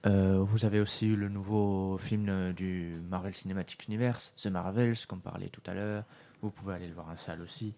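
Low-voiced man hosting a radio show. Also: a little fast, gravelly, few pauses, slight background noise. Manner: relaxed, talks straight to listeners. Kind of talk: casual radio chat.

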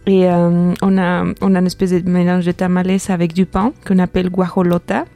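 A woman's voice: on the low side, little pitch movement, fairly quick, mellow. A woman from Mexico City with a Mexican Spanish accent, co-hosting a casual radio podcast, hesitant with many fillers and laughs. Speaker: podcast co-host.

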